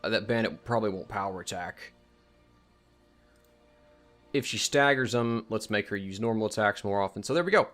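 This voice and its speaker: A man's voice: on the low side, lively intonation, normal speed, pauses often, fairly bright tone, soft background music. A man giving a casual, unscripted game demonstration, explaining each step and thinking aloud as he goes. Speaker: mod showcase presenter. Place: indoors at home, voice-over on gameplay.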